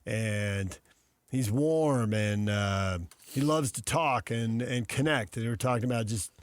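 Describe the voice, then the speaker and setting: A man's voice: mid-range voice, a little slow, clear, resonant tone, dry recording. A man with an American accent hosting a live sports talk radio show.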